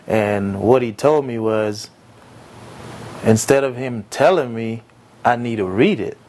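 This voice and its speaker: Young man, born in Haiti, earnest, reflective, halting with fillers, interview answers. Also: medium pitch, darkish tone, slight background noise. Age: young adult. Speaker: entrepreneur interviewee.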